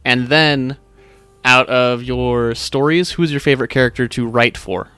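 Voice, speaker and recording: A man's voice: mid-range voice, steady tone, measured pace, bright, forceful tone, clear, resonant tone, dry recording. A man co-hosting an interview, putting questions in a casual conversational way. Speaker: co-host, interviewer. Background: music.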